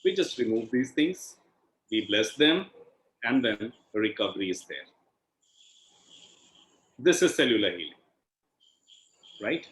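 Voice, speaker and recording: A man's voice: on the low side, big pitch swings, a little slow, long pauses, fairly bright tone. Echoey Indian man teaching on a call. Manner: didactic, ends points with a questioning "right?". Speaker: healing instructor and session host. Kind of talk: explanatory online talk with questions and answers. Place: online video call. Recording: echoey room over video call.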